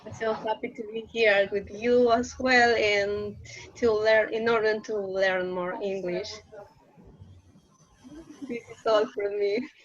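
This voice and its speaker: Hesitant woman from Peru, slow, muffled. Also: mid-range voice, steady tone. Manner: hesitant, self-correcting. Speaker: student English teacher. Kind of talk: casual class discussion. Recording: dull lo-fi audio.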